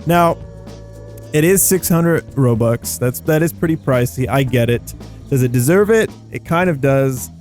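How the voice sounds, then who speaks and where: A man giving casual gameplay commentary in an excitable, slangy, rambling way, often repeating phrases as he thinks aloud. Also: medium pitch, measured pace. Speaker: video game YouTuber and host. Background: background music.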